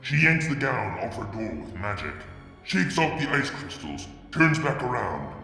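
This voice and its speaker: A man reading fan fiction aloud, narrating in the first person with brooding, deliberate delivery and sudden drops to a hush. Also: lowish voice, sing-song, creaky, soft background music. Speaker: fanfiction reader. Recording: reverberant room with long echo.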